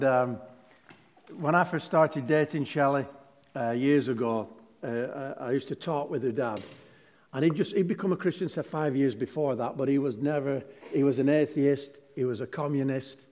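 A pastor preaching to a congregation, moving between relaxed, joking anecdotes and stressed scripture. His voice sits in the middle of the male range. Long reverb from a large hall hangs behind every phrase. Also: mid-range voice, highs cut off. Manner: chatty, jokey storytelling with emphatic scripture reading. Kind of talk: sermon.

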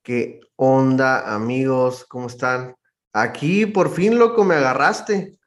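A man's voice: medium pitch, slow. A man with a northern Mexican accent, a podcast guest telling stories in a slangy, laid-back casual chat.

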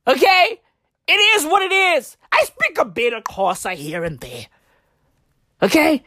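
A woman's voice: high voice, measured pace, no room sound, studio quality. A woman from southern Africa, a native Tswana speaker, doing a rambling, profane comic rant full of slang. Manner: profane, rambling, animated slang-heavy delivery. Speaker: comedian. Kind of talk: stand-up style comedic rant.

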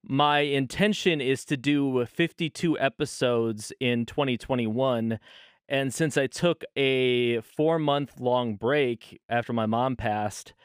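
A man's voice: mid-range voice, smooth, dry recording, studio quality. A man in his thirties hosting a casual solo podcast from his bedroom, talking loosely and often correcting himself. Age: 30s. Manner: loose, rambling, self-correcting. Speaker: podcast host.

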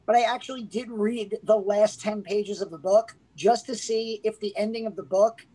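A man co-hosting a casual movie podcast, relaxed and knowledgeable, talking with frequent fillers. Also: high-pitched, normal speed, poor audio. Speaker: podcast co-host. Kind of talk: casual movie review chat.

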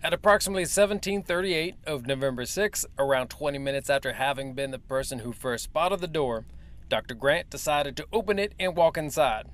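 A man's voice: a little high, lively intonation, a bit bright. A man playing a guarded military major. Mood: measured. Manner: formal, measured, evasive. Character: male military major briefing the press, guarded. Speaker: official spokesman. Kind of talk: audio drama acting.

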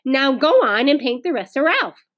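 A woman's voice: sits high, very animated, normal speed, a bit bright, pitched above the performer's own voice. A woman voicing a bossy, exasperated big sister in a storybook, scolding and correcting.

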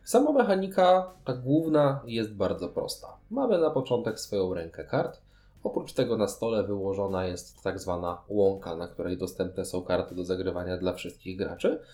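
Low-pitched man, explaining at length. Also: animated, moderate pace, mellow. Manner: explanatory, wordy, long sentences. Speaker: podcast host. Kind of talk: conversational board game review.

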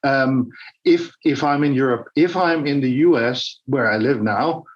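A man's voice: mid-range voice, unhurried, muffled recording. A man from Denmark, answering as a podcast guest, hesitant and often repeating words while he thinks. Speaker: podcast guest, business director. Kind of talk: interview answers.

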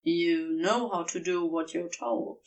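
A woman voicing a calm, firm male partner who gives stern, measured instructions tenderly, in an audiobook story. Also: on the low side, gravelly, recorded from a distance, muffled recording, pitched below the performer's own voice. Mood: calm, commanding.

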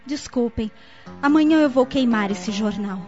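Actress as worried young daughter in a radio soap, high voice, earnest, kinda muffled audio w music. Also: female voice, a little slow, close to an everyday voice. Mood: contrite. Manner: earnest, emotive. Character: anxious, contrite young daughter. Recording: dull lo-fi audio, music underneath.

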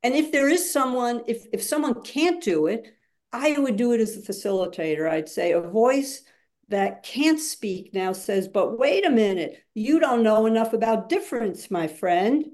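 A woman's voice: a little high, animated, moderate pace, darkish tone. A woman speaking as a guest facilitator in a webinar, explaining emphatically and acting out examples as she goes.

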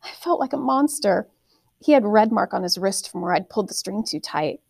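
A woman narrating a first-person story expressively, with deliberate, dramatic phrasing. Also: medium pitch, lively intonation, creaky, darkish tone, dry recording. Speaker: audiobook reader, teacher reading aloud.

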